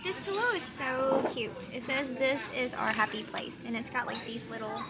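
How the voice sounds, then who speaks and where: High-pitched young woman, noisy store. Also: moderate pace. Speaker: vlog host. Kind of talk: casual shopping chat. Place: large retail store. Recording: busy store background noise.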